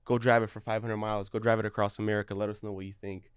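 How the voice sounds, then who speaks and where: A young man from Ukraine talks in a low register with a smooth, clean tone. He speaks earnestly, often restarting his thoughts. The sound is dry and close. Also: little pitch movement, very fast, no room sound. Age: young adult. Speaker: guest, real estate broker. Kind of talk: casual interview talk.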